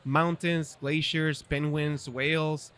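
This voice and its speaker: A man's voice: fairly high pitch, flat delivery, slow, fairly bright tone, smooth. A man from Chile, a guest in a casual podcast interview, friendly and laughing often. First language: Spanish. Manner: friendly, laughs often, repeats "okay" and "yeah".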